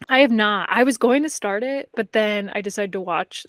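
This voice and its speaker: A woman's voice: medium pitch, normal speed, a bit bright, no room sound. Woman, podcast co-host, mid-range voice, casual conversational delivery, lots of "like", dry close sound. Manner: informal, conversational, peppered with "like". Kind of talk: casual chat about books and TV.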